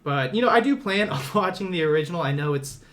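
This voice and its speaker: A man's voice: high voice, lively intonation, fast, a bit bright. A man co-hosting a podcast, introducing and discussing films in casual conversation. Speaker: podcast co-host.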